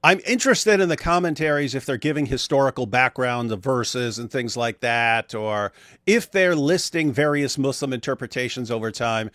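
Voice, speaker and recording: A man's voice: mid-range voice, normal speed, a bit bright, dry recording, studio quality. A man hosting a casual discussion, building his argument step by step with emphatic repetition.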